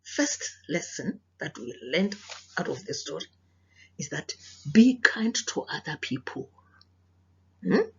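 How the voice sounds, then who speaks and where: Husky South African woman, slow lively storyteller. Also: sits low, muffled recording. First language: Sepedi. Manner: didactic, repeats phrases, questions listeners. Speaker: storyteller and teacher reading aloud to children. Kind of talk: children's read-aloud with moral lesson.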